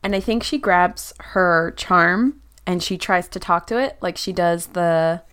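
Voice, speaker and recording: A woman's voice: on the low side, a little slow, a bit bright, clean tone. A woman with a head cold, a teacher playing a tabletop game, complaining in a grumpy, sardonic way. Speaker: player; fifth-grade teacher. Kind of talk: casual chat and tabletop play.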